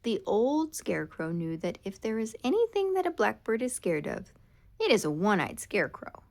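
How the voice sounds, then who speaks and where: A woman reading a children's story aloud in a dramatic, expressive way, drawing out key words. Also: medium pitch, normal speed, creaky. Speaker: storybook reader. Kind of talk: scripted children's storytime narration.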